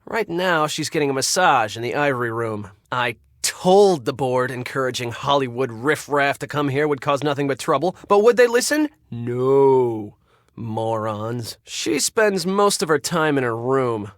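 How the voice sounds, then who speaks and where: An actor playing a bossy, irritable and secretly crooked spa manager in a video game, curt and sarcastic. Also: male voice, medium pitch, lively intonation, measured pace, no room sound, clean studio sound, close to an everyday voice. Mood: conspiratorial, insistent, then scornful, exasperated. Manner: curt, sarcastic, barking orders.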